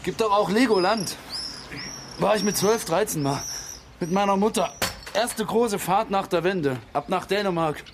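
An actor playing a gruff, sarcastic working-class amateur boxer stuck in life, blunt and slangy in a radio drama. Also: male voice, sits high, normal speed, noisy background. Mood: needling. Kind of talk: naturalistic German radio play acting.

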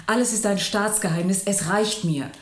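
A woman's voice: medium pitch, normal speed, close to an everyday voice. An actress playing a weary, exasperated woman impatient with her brooding partner in a German radio play.

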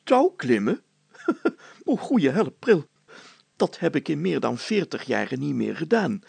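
Man acting a flustered, gravelly older town mayor. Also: fairly high pitch, big pitch swings, measured pace, darkish tone, dry recording, full character voice. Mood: flustered, reluctant. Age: middle-aged to older. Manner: stammering, blustering, groaning and protesting. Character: plump, fearful, fussy older town mayor.

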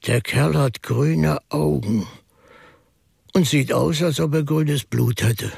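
A pensive priest detective in a radio drama. His voice is heavily creaky and husky. He speaks in slow, deliberate phrases. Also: fairly high pitch, darkish tone, no echo, studio quality, heavily acted. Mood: wry observation. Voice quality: gravelly, husky. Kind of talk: German radio drama acting.

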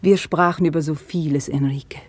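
Breathy, troubled widow in a radio drama. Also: female voice, low-pitched, fairly even intonation, a little mellow. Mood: wistful, resigned. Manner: breathy, halting, intense, often dropping to a whisper. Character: troubled, brooding widow Inés, estranged from her fiancé. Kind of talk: German radio play acting.

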